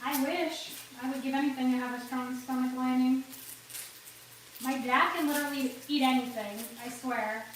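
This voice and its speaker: A woman's voice: high voice, steady tone, normal speed. A woman hosting a casual cooking livestream from her home kitchen, chatting, laughing often, and sniffling from a runny nose. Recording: echoey kitchen, far from mic, background noise.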